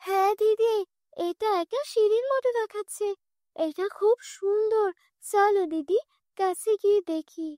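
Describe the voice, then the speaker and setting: Cartoon casting: a woman as a poor little orphan girl, pleading and earnest, very high-pitched, dry sound. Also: measured pace, mellow, dry recording. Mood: wistful, eager. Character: poor young orphan girl, pleading and timid, flower seller. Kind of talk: children's cartoon dialogue.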